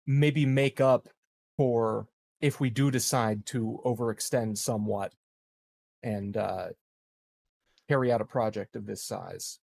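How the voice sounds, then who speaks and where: A man with halting, deliberate phrasing, talking through his moves as a tabletop game player. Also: on the low side, steady tone, vocal fry, a little mellow. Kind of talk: casual in-game discussion.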